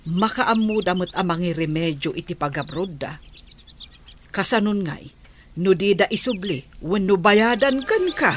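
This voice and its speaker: A woman's voice: on the low side, expressive, measured pace, noisy background. A woman playing a grumbling, nosy but obliging middle-aged village aunt in an Ilocano radio drama. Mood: prying.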